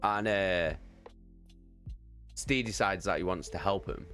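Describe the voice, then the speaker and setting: A man's voice: sits low, takes it slowly, a bit bright, soft background music. A man on a live stream animatedly telling a tabletop game story, swearing often and laughing mid-sentence. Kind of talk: casual anecdote on a live stream.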